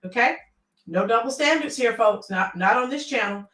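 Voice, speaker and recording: Middle-aged woman chatting on a livestream, kinda high voice, lots of ums, sounds far off in a roomy space. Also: lively intonation, normal speed, a bit bright. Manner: rambling, with many fillers, addresses viewers by name. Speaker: livestream host reading viewer comments. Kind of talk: casual livestream chat.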